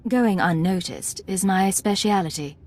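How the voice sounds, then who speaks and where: A woman's voice: medium pitch, measured pace, darkish tone, lightly acted. Woman acting a cool, wry secret agent. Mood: cool, questioning. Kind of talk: video game voice acting.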